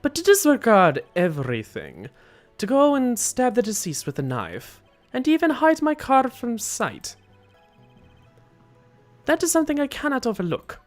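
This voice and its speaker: A man voicing a cold, formal hired killer from a video game, in a deliberate, pompous manner. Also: extremely high pitch, huge pitch swings, pitched above the performer's own voice, exaggerated voice. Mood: indignant, cold. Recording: music behind the voice.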